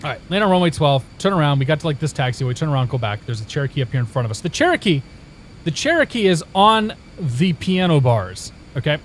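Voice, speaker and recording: A man's voice: fairly high pitch, big pitch swings, fast, slight background noise. A young man from Indiana, a live streamer, chatting casually with viewers and telling a story in a rambling way, with many restarts.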